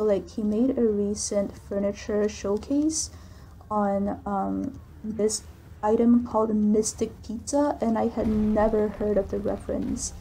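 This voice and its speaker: A young woman with a soft voice, answering viewer questions in a casual voiceover recorded on a computer's built-in microphone. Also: mid-range voice, slightly rough, low-quality recording. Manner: soft-spoken, relaxed, with frequent ums and likes. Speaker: gaming channel creator.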